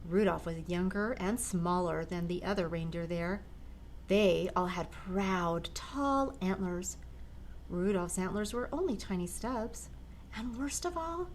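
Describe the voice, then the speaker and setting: An older woman, a grandmother, reading a children's picture book aloud in a gentle, expressive way. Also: mid-range voice, a little hiss or hum, poor audio. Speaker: storyteller grandmother.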